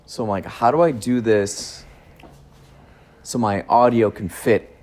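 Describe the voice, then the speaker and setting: A man's voice: on the low side, steady tone, a little slow, darkish tone. A man hosting a casual podcast chat in his cousin's garage, telling stories in a rambling way with restarts and laughs, recorded on a phone in his chest pocket. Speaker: podcast host.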